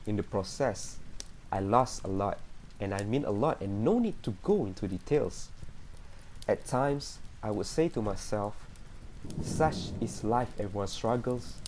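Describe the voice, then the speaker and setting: A man plays a troubled patient in an amateur radio drama, confessing earnestly and deliberately. The voice is fairly low and quite mellow, with faint noise behind it. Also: expressive, low-quality recording. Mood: pained, resolute.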